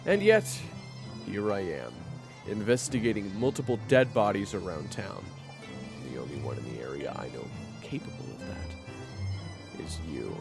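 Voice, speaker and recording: Man playing a gravelly, brooding monster hunter. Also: low voice, sing-song, normal speed, music in the background, exaggerated voice. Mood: accusing. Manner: stern, gruff, irritable. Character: brooding, vengeful monster hunter, easily exasperated. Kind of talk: audio drama acting.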